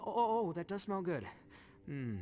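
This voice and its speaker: A man playing a brash, excitable, hungry young gang boss in a video game, blustering and stammering in slangy speech. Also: sits high, measured pace. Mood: tempted, relenting.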